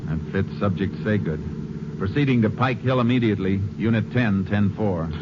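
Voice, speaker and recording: An actor playing a calm, clipped Texas Ranger in a 1950s radio drama, in a dull, noisy old recording. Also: male voice, lowish voice, normal speed, close to an everyday voice. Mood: matter-of-fact. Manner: clipped, matter-of-fact. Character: steady male Texas Ranger lawman, calm and dry.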